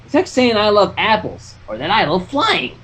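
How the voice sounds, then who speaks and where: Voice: medium pitch, wildly swooping pitch, normal speed, a bit bright, breathy edge, full character voice, poor audio. A fan-fiction reader voicing a kindly, folksy female farm pony, homespun and reassuring. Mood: earnest, kindly. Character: kindly, folksy farm mare looking out for friend.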